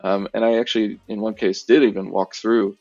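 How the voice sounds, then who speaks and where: A man's voice: fairly low pitch, level tone, brisk, gravelly, darkish tone, no echo, highs cut off, soft background music. An American man in his thirties giving an easygoing testimonial interview, hesitant and full of fillers. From United States. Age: 30s. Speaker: former bootcamp student.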